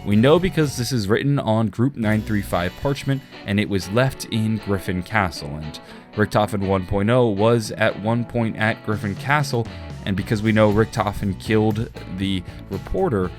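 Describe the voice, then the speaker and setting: Male YouTube narrator, low register, emphatic scripted explainer delivery, clear guitar backing. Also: normal speed. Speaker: video game lore YouTuber. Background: guitar music.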